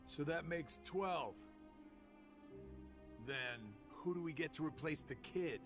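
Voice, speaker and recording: Slow, drawling, sly schemer. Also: male voice, a little high, over music. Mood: musing, calculating. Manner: drawling, sardonic, evasive. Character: sly, laid-back male schemer, wryly amused. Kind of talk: dramatic video game cutscene acting.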